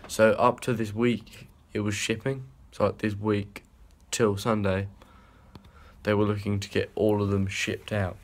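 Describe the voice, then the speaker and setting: A man's voice: fairly low pitch, little pitch movement, measured pace. A young man, rambling and swearing in a casual vlog filmed on a phone held at arm's length. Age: young adult. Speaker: vlogger. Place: small indoor rooms and a hallway.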